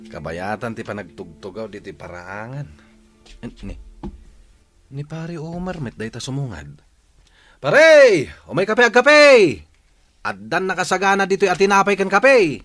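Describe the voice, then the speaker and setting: A man's voice: on the high side, huge pitch swings, unhurried, pauses often, fairly bright tone, faint music behind, heavily acted. A man playing a chatty, hospitable village buddy in an Ilocano comedy radio drama, with an Ilocano accent. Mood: friendly.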